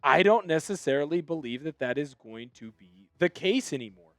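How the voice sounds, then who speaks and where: A young man hosting a sports news commentary, talking with firm opinions in a fairly high voice. His delivery swings into animated excitement at times. The sound is dry and close, with no room echo. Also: normal speed, soft background music. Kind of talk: solo sports commentary video.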